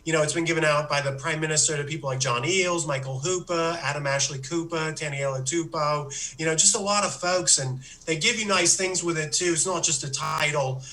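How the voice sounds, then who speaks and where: A man voicing a rambling, self-pitying Australian man who gives a comic business pitch in a put-on Australian accent. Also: a little fast, few pauses, pushed and bright, slight background noise. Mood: boastful. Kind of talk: comedic monologue.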